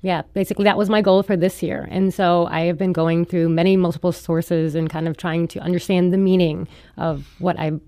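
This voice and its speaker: A woman's voice: on the low side, little pitch movement, brisk, hardly any pauses, mellow, clean tone, no echo. A woman talking conversationally as a guest on a radio panel. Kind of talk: conversational interview talk. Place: radio studio.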